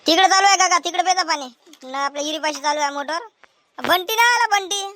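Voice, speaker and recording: A woman acts out an overworked, cheeky village boy for a rural comedy cartoon. The voice is piercingly high and bright, with a whining manner and a Vidarbha Marathi accent. The sound is dry and close. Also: fairly quick, no echo. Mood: cheeky protest.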